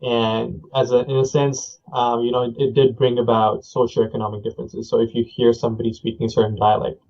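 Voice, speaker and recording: A young man from India, a native Punjabi speaker, talks through his answers slowly and thoughtfully, pausing on fillers and restarting his sentences. His voice stays level and even, and the recording sounds dull and lo-fi. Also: medium pitch. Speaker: guest. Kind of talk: conversational interview. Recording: dull lo-fi audio.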